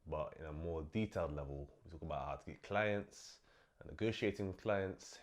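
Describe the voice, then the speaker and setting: A young man hosting his own vlog, talking casually and a bit low on energy as he recovers from illness, with lots of fillers. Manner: relaxed, chatty, many fillers. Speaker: host. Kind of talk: casual vlog talk to camera.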